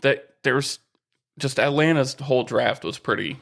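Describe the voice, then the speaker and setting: A man's voice: medium pitch, normal speed, vocal fry, fairly bright tone. A man co-hosting a sports podcast, talking in a casual, conversational way with some joking banter.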